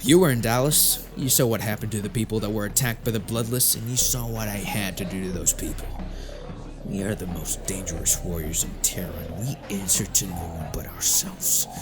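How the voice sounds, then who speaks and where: An actor playing a hardened exorcist warrior, a blunt mentor who speaks in a weighty, lecturing way in an audiobook drama. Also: male voice, fairly low pitch, a little fast, music in the background, natural voice. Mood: stern, grave.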